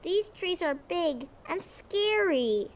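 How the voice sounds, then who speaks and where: Very high-pitched eager little cartoon boy. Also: animated, slow, exaggerated voice. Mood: worried, small. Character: eager, sweet, easily worried four-year-old boy. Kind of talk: preschool cartoon dialogue.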